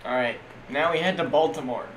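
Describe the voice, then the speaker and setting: Young guy streamer doing football play-by-play, kinda high voice, echoey room w faint hiss. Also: fairly quick. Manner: casual, jokey play-by-play that spikes loud on big plays. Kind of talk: live-stream game commentary.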